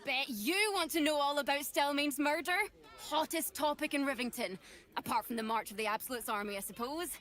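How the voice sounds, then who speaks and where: An actress playing a cheeky, pushy street news seller in a video game, chatty and salesy. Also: female voice, sits high, brisk, a bit bright. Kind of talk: video game character acting.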